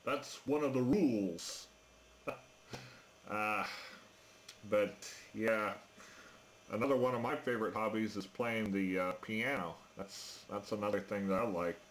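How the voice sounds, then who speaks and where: A man chatting casually as an amateur radio operator, talking in a hesitant, halting way. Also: on the low side. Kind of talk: casual ham radio chat. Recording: noisy amateur radio transmission.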